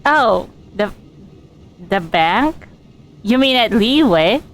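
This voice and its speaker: A woman's voice: mid-range voice, animated, extremely slow, slight background noise. A woman giving casual commentary on a game stream, musing aloud to herself.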